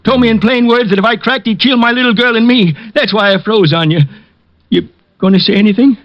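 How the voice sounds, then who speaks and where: Man voicing a fearful old blind ex-con, high thin voice, dry and dull old radio sound, slangy pleading. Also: fairly quick, no echo, lightly acted. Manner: pleading, gruff slangy speech. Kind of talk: 1950s radio drama acting.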